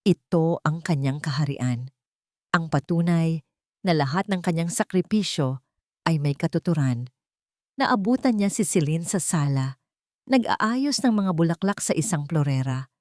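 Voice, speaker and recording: A woman's voice: lowish voice, darkish tone, no room sound, studio quality. A woman narrating a dramatic, scripted love and family story as a storyteller.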